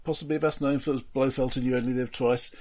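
A middle-aged British man co-hosting a casual film discussion, speaking in a dry, halting way and repeating words as he thinks. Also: medium pitch, steady tone, warm tone. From United Kingdom. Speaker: film podcast co-host.

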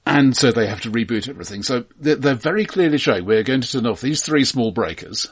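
A man co-hosting a film podcast, speaking casually in a dry, analytical, halting way, often repeating words. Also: mid-range voice, lively intonation, breathy edge.

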